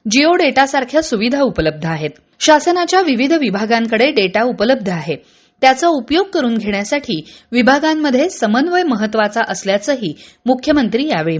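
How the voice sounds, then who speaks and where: A woman reads radio news. Her delivery is formal and measured, following a script. The sound is a little dull and band-limited. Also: on the high side. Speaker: radio newsreader.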